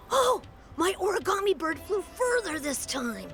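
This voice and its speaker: A woman voicing an excitable, wide-eyed child in an anime-style video game. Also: very high-pitched, a little slow, faint music behind, exaggerated voice. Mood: amazed. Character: excitable, wide-eyed child who loves paper toys.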